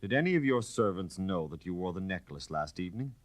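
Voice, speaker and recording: A man's voice: sits low, animated, fairly quick, no echo. A man voicing a methodical police commissary in a storybook reading, formal and pointed in his questioning. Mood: pointed, serious.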